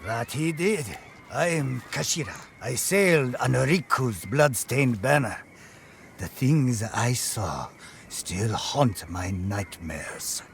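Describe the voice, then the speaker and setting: An actor playing a haunted pirate sailor who spins grim legends with theatrical, ominous pauses in a video game. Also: male voice, mid-range voice, animated, gravelly, a little hiss or hum. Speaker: storyteller. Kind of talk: dramatic campfire-style storytelling in a video game.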